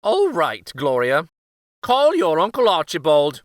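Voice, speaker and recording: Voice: medium pitch, huge pitch swings, measured pace, no echo, studio quality, voice pushed up. A man voicing a fussy, reluctant storybook king with whiny, exclamatory protests. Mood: grudging. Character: fussy, reluctant king afraid of splinter removal.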